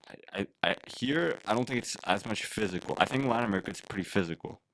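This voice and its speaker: Low gravelly man, reflective. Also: fast talker, a bit bright, rough recording. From Canada. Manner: reflective, rambling, heavy use of "like". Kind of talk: casual conversation.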